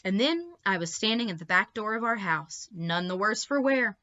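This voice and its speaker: A woman reading a children's story aloud with expressive, emphatic delivery and short asides to the listeners. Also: mid-range voice, normal speed, highs cut off. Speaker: librarian reading stories to children.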